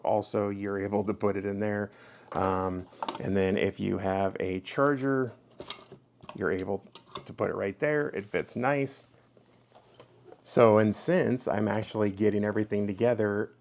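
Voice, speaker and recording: A man's voice: on the low side, gravelly, darkish tone. A man giving a casual, hands-on product review as the host of his own video.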